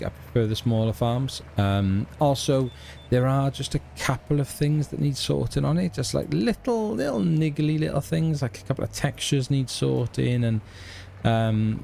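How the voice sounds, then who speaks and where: A man's voice: medium pitch, vocal fry, mellow, slight background noise. A middle-aged man with a Welsh accent, hosting a casual let's play and chatting about his game in a rambling, hesitant way with lots of ums and restarts. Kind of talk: casual gameplay commentary.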